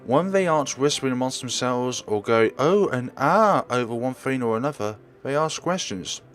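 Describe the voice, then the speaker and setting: A man's voice: mid-range voice, brisk, faint music behind. A man reading a visual novel aloud as a let's player, narrating expressively and sometimes stumbling and correcting himself.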